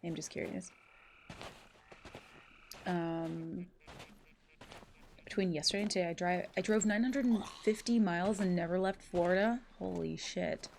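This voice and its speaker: A woman live streamer with a somewhat low, congested voice that sounds stuffy. She rambles casually through chat messages, full of fillers and swearing. A faint hiss sits under the recording. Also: measured pace, vocal fry, long pauses, a little mellow. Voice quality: nasal, congested. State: stuffy nose.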